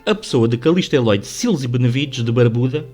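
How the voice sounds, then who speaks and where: A man's voice: medium pitch, animated, fast. A man with a European Portuguese accent reading scripted literary narration for a radio drama. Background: soft music.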